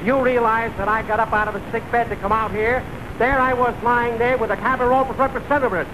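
An indignant cousin blusters in a high male voice, slipping earnestly into nonsense double-talk. The style is 1940s radio comedy, on a noisy vintage recording. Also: fairly even intonation, fairly quick. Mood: indignant, blustering. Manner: earnest, blustering gibberish delivery.

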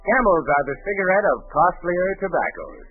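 A man's voice: high voice, big pitch swings, normal speed, narrow, tinny audio. A man working as announcer, reading 1940s radio ads and show introductions. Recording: thin old radio recording with faint music.

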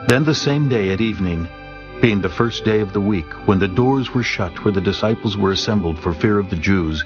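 A man narrating scripture in a solemn, steady reading. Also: fairly low pitch, a little fast, highs cut off. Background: soft music.

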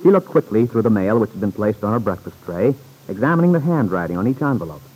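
Husky male radio serial narrator. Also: on the low side, very animated, quick pace, warm tone, low-quality recording. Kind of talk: dramatic scripted 1940s radio narration.

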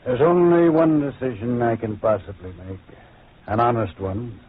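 An actor playing a self-important, corrupt judge with a formal, pompous manner in an old-time radio drama. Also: male voice, medium pitch, lively intonation, moderate pace, husky, dry recording. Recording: tinny, narrow old radio recording.

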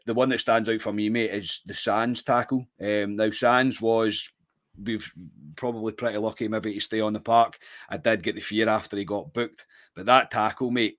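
A Scottish man talks through a football match with his co-host in a loose, casual way. His voice sits on the low side and sounds close and dry, with little room sound. He restarts his sentences and calls his co-host "mate" all the time. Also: fairly bright tone, dry recording.

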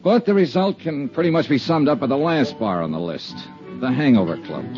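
An American actor playing a brisk, wry, hard-boiled insurance investigator in a 1950s radio detective drama. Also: male voice, medium pitch, lively intonation, darkish tone, lightly acted. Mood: wry, businesslike. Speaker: lead actor and narrator. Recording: dull vintage radio recording, faint music.

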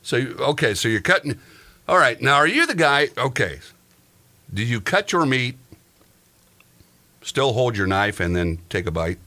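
A man's voice: medium pitch, very animated, normal speed, pauses often, sharp tone. A man hosting a podcast in casual comic chat, joking and rambling through everyday stories. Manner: joking, rambling, tells exaggerated everyday anecdotes. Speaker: podcast host.

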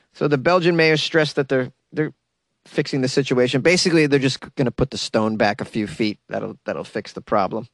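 A man's voice: medium pitch, no echo, clean studio sound. A man hosting a podcast, giving comedic commentary on a news story in a sarcastic, joking way with casual swearing. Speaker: podcast host.

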